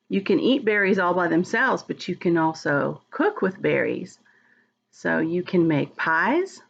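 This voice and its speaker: A woman's voice: lowish voice, vocal fry, dull audio. A woman reading a storybook aloud to young children, talking to them directly and expressively, drawing out words. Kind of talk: read-aloud to young children, chatting and asking questions.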